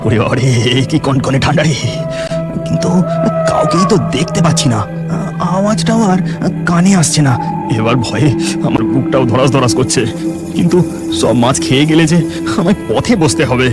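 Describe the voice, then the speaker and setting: A man's voice: mid-range voice, animated, normal speed, pauses often, lightly acted. A man voicing an anxious village husband in a horror cartoon, exclaiming in a folksy, dramatic way, with music behind him. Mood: nervous. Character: Keshto, frightened village husband, fish farmer, anxious and grief-prone. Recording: loud music under the voice.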